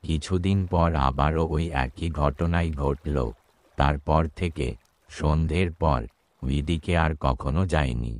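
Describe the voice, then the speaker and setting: Man acting as rustic Bengali boatman, extremely low voice, solemn and superstitious, earnest deferential storyteller, dry close sound, cartoon ghost story. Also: measured pace, no echo. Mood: hushed, eerie.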